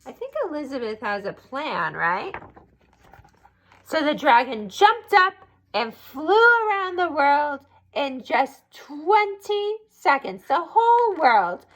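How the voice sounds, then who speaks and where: A woman reads a picture book aloud to children. Her fairly high voice rises and falls with lots of melody, and she takes her time. She adds little asides to her listeners as she goes. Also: sing-song, slow, fairly bright tone. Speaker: storyteller reading aloud to children.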